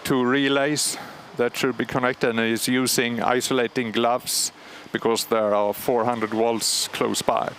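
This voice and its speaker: A man with a Swedish accent calmly explaining, step by step, how an old radio transmitter is started, pausing often with fillers. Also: mid-range voice, a little hiss or hum. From Sweden. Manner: measured, explanatory, frequent pauses and fillers. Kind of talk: live technical commentary. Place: large machine hall at a radio station. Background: humming machinery and alarm bells.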